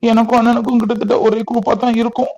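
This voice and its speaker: A man's voice: extremely high pitch, level tone, racing, a little mellow, dull audio. A man playing a caring younger brother in a family melodrama, earnest and reassuring, with a southern Tamil Nadu accent.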